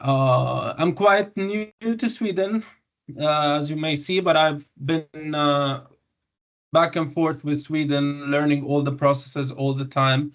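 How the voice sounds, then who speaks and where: A man's voice: on the high side, steady tone, a bit bright. Young Syrian man presenting a webinar, muffled. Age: 20s. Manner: halting, many fillers and self-corrections. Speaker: doctor giving a webinar. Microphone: video call microphone.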